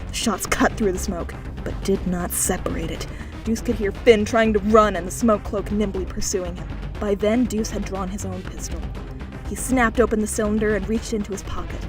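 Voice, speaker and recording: A woman reading an audiobook, narrating scripted fiction in a dramatic style. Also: mid-range voice.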